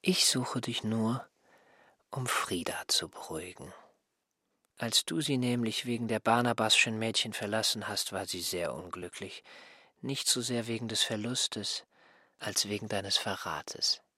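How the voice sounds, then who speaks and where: Husky, aggrieved servant. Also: male voice, on the low side, a little slow, pushed and bright, dry recording, clean studio sound, full character voice. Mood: matter-of-fact, smug. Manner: reproachful, self-righteous, pedantic. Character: aggrieved, smug male former assistant and servant. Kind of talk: literary radio drama acting.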